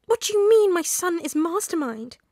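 Woman acting a shrill, frantic mother. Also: animated, moderate pace, dark tone, dry recording, studio quality. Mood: shrill disbelief.

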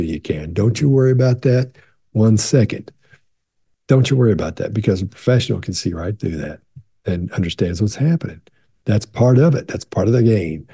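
Gravelly older man on a home-office webcam. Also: lowish voice, mellow. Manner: conversational, repeats and restarts, leans into reassurance. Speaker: body language expert. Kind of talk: casual Q&A talk to camera.

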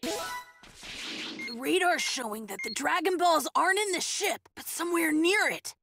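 A woman's voice: very high voice, expressive, a little slow, gravelly, over music, full character voice. A woman voicing a small, eager, innocent young boy hero in an anime game. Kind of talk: anime game dub acting.

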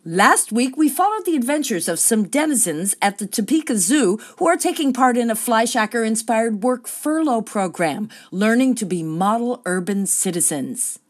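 A woman plays an earnest radio nature-show presenter in a comedy. Her voice is fairly high and animated, with mock-serious, measured narration. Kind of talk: parody documentary narration.

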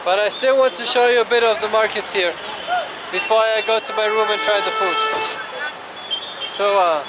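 A young man from Germany chatting to a handheld camera as a travel vlogger, hesitant with many pauses. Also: high-pitched, steady tone. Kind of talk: casual vlog narration to camera. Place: busy night street market outdoors. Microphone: action camera held at arm's length. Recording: loud crowd and traffic noise. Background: loud crowd and street traffic.

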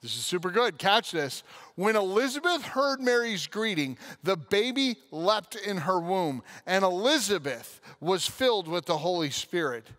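Male pastor, thirties, lively sermon, high voice, headset mic, big stage. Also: a little slow. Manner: animated, bursts into loud acted quotes. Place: church stage.

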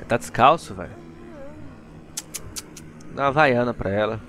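A man's voice: mid-range voice, lively intonation, normal speed, fairly bright tone. A young man with a Brazilian accent casually commenting on a game with joking, slangy asides. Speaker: gaming channel host. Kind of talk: casual let's play commentary. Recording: noisy, game sounds behind.

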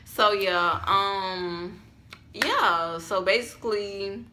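A woman's voice: medium pitch, very slow, sharp tone, a little hiss or hum. An eighteen-year-old woman, chatting upbeat and casually as a vlogger. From Alabama, US. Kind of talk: casual chat. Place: small room at home.

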